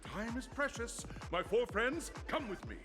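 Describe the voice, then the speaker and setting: A man's voice: extremely high pitch, big pitch swings, a little slow, full character voice. A man playing a showy, nostalgic older tycoon who speaks like an orator in a video game. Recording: game music clearly behind the voice.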